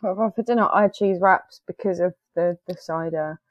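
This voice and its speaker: A woman's voice: fairly low pitch, fairly quick, dry recording. Laid-back British woman, dull dry mic. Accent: British English. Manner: laid-back, laughing, banter. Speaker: podcast co-host. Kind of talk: casual chat.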